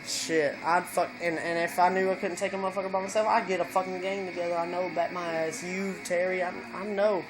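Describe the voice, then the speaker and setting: A man's voice: high-pitched, level tone, fast talker, hardly any pauses, low-quality recording. A man vlogging at home, a bit drunk and stoned, telling a long profane rambling story. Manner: profane, meandering, tipsy storytelling. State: tipsy and stoned. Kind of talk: rambling casual chat. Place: at home indoors. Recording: music playing in the room.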